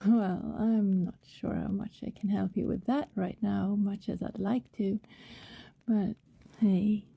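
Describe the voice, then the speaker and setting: Breathy, creaky female vampire neighbor acted by a woman, coy and coaxing, unhurried ASMR roleplay. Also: mid-range voice, steady tone, muffled recording, exaggerated voice. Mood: gently concerned. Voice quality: breathy, often dropping to a whisper. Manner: gentle, hesitant, coaxing. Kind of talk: intimate ASMR roleplay, soft and conversational.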